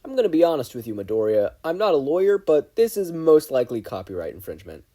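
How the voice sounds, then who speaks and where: Guy doing a frank encouraging teacher hero, folksy, husky, lively delivery, audiobook. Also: on the high side, quick pace, voice pushed up. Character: gruff, kindly male hero teacher and engineer. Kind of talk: audiobook character voice.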